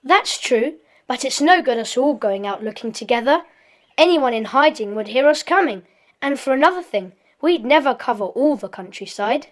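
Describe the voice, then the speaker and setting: An actress playing a sensible, firm child in a secret club in a children's audio drama, matter-of-fact in manner. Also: female voice, high voice, animated, moderate pace.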